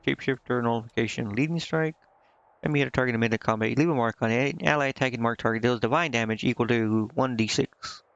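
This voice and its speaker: A man streaming a video game, casually thinking out loud and reading menu text to himself, with some offhand swearing. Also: mid-range voice, fast, dull audio. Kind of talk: casual live gameplay commentary, muttering and reading menu text aloud.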